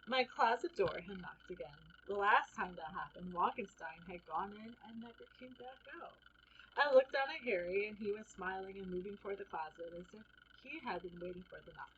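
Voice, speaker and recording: A woman reading a children's book aloud as an expressive first-person storyteller. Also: mid-range voice, moderate pace, highs cut off, slight background noise.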